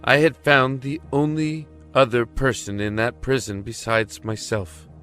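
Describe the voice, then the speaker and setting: A man's voice: mid-range voice, fairly even intonation, a bit bright, soft background music, natural voice. An actor playing a haunted young prisoner who tells of his descent into madness in a solemn, intense audio drama monologue. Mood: awed, haunted. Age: young adult. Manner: solemn, deliberate, intense storytelling.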